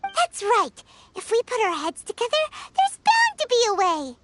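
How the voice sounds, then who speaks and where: Squeaky, chirpy little game sidekick. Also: female voice, normal speed, very noisy, full character voice. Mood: eager, encouraging. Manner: squeaky, animated. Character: tiny, chirpy, eager childlike companion. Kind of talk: video game voice acting.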